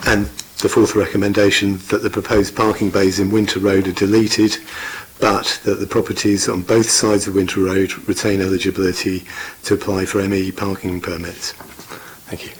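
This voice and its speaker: Low flat-voiced man reading a report. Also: slight background noise, low-quality recording. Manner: measured, halting with fillers. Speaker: council officer presenting a report. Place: council meeting room.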